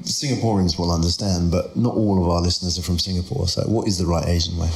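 Guy hosting a podcast, asks lots of follow-ups, deepish voice, roomy echo. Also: fast talker. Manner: relaxed, curious, asks follow-up questions. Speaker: podcast host. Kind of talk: conversational interview. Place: around a table in a room.

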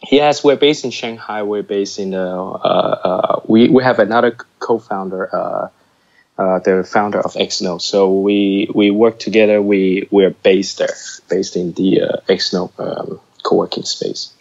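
Low deep guy from hong kong, kinda american accent, lots of uh and restarts, dull muffled audio. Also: steady tone, a little slow, creaky, a little mellow. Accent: American-tinged English. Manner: hesitant, many fillers and restarts. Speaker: guest, startup co-founder. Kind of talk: casual interview chat.

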